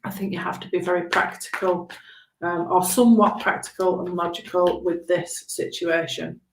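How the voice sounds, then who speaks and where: A woman giving a spontaneous live tarot reading in a halting, reassuring, conversational way, with a slightly hoarse voice. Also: on the low side, level tone. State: hoarse after long talking. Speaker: tarot reader hosting a live stream. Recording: roomy, echoing room sound.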